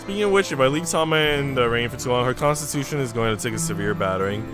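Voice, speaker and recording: Visual novel lead: wry, kindly young man read by a man, measured, medium-pitched, music underneath. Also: lively intonation, close to an everyday voice. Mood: reflective. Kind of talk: visual novel narration and dialogue read aloud. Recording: background music.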